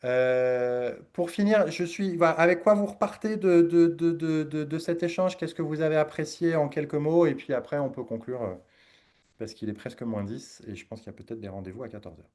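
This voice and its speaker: Male workshop speaker, voice on the high side, informal, encouraging, emphatic. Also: fairly quick, darkish tone. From Ivory Coast. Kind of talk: informal coaching talk to a group.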